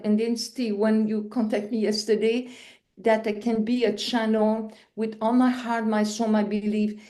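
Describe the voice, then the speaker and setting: French-Canadian woman, animated spiritual storyteller. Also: on the high side. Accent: Quebec French. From Quebec. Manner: animated storyteller, acts out scenes with laughs and cries. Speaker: guest. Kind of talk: spiritual interview.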